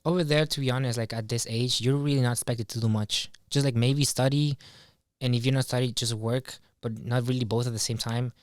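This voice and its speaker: Young man, mid-pitched, very dry sound. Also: steady tone, brisk, no room sound. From Ecuador. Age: young adult. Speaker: podcast guest. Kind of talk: casual chat, personal reflection. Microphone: close boom-arm studio microphone.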